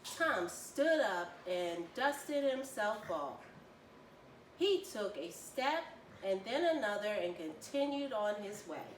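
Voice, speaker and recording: A woman reading a children's story aloud with expressive, deliberate storytelling. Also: medium pitch. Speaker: volunteer children's story reader. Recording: roomy, distant mic, faint noise.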